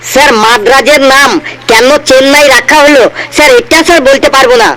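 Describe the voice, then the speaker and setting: Man voicing a very high-pitched cheeky schoolboy. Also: fairly even intonation, a little fast, rough recording. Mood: mock earnest. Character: cheeky male schoolboy full of silly excuses. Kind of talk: comedy cartoon dialogue.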